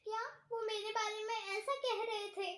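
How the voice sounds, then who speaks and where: A woman acts out an easily hurt, determined young daughter-in-law from a moral tale. The voice is extremely high and piercingly bright, with an expressive, complaining delivery. The sound is roomy and echoing. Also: normal speed. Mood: stung, determined. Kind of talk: amateur moral story acting in Hindi.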